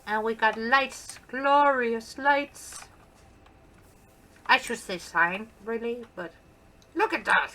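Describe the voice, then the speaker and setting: A woman's voice: a little high, takes it slowly, long pauses, sharp tone, slight background noise. A woman with a Danish accent, a native Danish speaker, chatting casually and playfully as she shows off her build in gameplay commentary. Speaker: gaming channel host.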